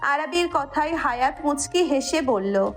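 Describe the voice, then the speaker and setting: A woman's voice: high-pitched, lively intonation, unhurried, faint music behind. A woman with a Bangladeshi accent narrating a scripted romantic audio story.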